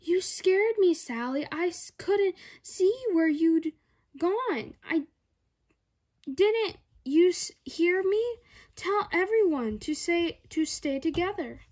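A woman's voice: very high-pitched, lively intonation, takes it slowly, a little mellow, pitched above the performer's own voice, heavily acted. A young girl voicing a concerned, firm female rabbit schoolteacher from a storybook, emphatic as she instructs. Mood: worried scolding. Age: child.

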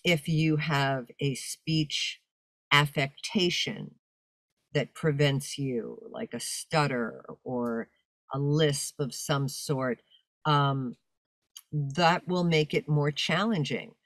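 An older woman with a deep, commanding announcer's voice talks in a big, projecting way. She chats about her work over a video call from home, and the sound is dry and close. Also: steady tone, slow, a bit bright, no echo. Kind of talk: casual interview talk. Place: home room with fur-draped chair.

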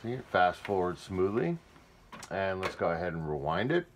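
A man's voice: low-pitched, big pitch swings, normal speed, slight background noise. A man talking casually off screen as he gives a hands-on demonstration of audio gear indoors. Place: indoor room.

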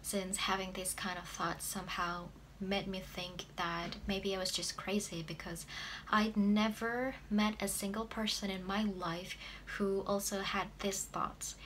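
A woman's voice: mid-range voice, steady tone, measured pace, a bit bright, a little hiss or hum, low-quality recording. A woman in her twenties with an Indonesian accent, telling a personal story to camera in a room indoors. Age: 20s. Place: small plain room indoors.

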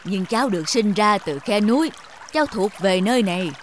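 A woman's voice: mid-range voice, lively intonation, a little fast, slight background noise, lightly acted. A woman voicing a huge, stern, proud old mountain in a cartoon fable, in a scolding, pompous manner.

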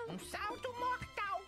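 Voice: extremely high pitch, full character voice. A performer voicing a cocky, mischievous male cartoon woodpecker with a cheeky, showy delivery. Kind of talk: exaggerated cartoon dub acting. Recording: loud cartoon music behind.

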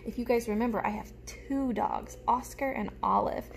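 A woman's voice: fairly high pitch, moderate pace, creaky. Young woman teaching children, noisy room. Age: young adult. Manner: expressive, simple explaining aimed at young children. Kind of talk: children's show-and-tell lesson. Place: small room at home, indoors. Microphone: phone held close in front.

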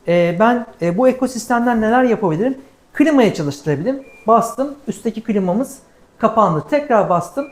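A woman's voice: fairly high pitch, darkish tone. A woman giving a live product demonstration, explaining things step by step as a presenter.

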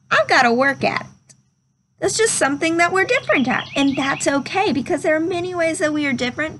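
High-voiced young woman talking to children. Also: a bit bright, slight background noise. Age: young adult. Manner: simple, instructive, addressing children. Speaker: read-aloud storyteller for children. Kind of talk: children's picture book read-aloud and chat to young viewers. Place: outdoors under a covered wooden pavilion.

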